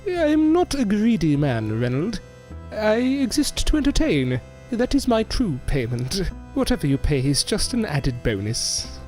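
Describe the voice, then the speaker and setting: A man's voice: sits high, very animated, unhurried, a little mellow. A man playing a genteel, modest ventriloquist in an audio drama, speaking in polite, flowery, formal phrases. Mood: coy, polite. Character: genteel, modest male ventriloquist, courteous and flattering. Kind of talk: scripted audio drama acting. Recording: music behind the voice.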